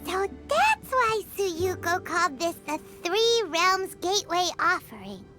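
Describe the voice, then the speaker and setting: Tiny fairy game companion, extremely high squeaky voice, swooping intonation, curious and excitable, music behind. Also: female voice, a little slow, exaggerated voice. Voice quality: squeaky, childlike. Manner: speaks of herself by name, exclaiming, childlike. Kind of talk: video game cutscene dialogue.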